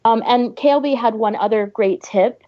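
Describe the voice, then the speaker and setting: A woman's voice: a little high, moderate pace, mellow, dry recording. A woman co-hosting a podcast in casual chat, on a dry, slightly dull recording. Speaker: podcast co-host.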